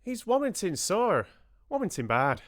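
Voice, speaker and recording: Grave, precise deaf gentleman for an audiobook; high, emphatic, richly accented, with big swings in pitch. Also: huge pitch swings, slow pace, no echo, studio quality, heavily acted. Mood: solemn, emphatic. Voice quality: rich accented voice. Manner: emphatic, repeats himself. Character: solemn, precise, hard-of-hearing gentleman, slow and gentle. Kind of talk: audiobook character dialogue.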